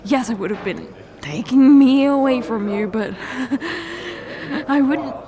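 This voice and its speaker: Woman tearful very high voice, slow and faltering, repeats herself; crowd murmur under it. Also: animated. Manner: halting, repeats words, breaks off. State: tearful, crying. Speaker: ASMR roleplay creator. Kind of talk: emotional ASMR roleplay confession.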